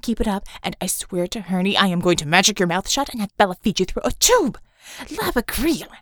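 A woman's voice: medium pitch, animated, a little fast, a bit bright, no room sound, clean studio sound. A woman voicing a furious, foul-mouthed young woman in an audiobook, delivering an emphatic scolding tirade. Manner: emphatic, cursing tirade peppered with foreign swear words.

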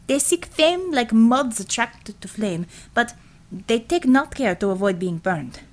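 A woman voicing a proud, sharp-tempered Russian communist commissioner in an audiobook, with a Russian accent and blunt, clipped broken English. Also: fairly high pitch, expressive, unhurried. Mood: scornful.

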